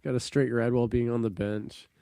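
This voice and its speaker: A man's voice: mid-range voice, a little fast, vocal fry, dry recording. A young man with a stuffy, nasal voice from a cold, co-hosting a casual sports podcast with many ums. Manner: relaxed, with frequent ums. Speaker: podcast co-host.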